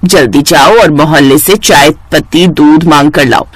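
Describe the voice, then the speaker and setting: A woman's voice: low-pitched, normal speed, pitched below the performer's own voice. A woman voicing a bossy, shameless older mother-in-law in a moral story. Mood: bossy, approving.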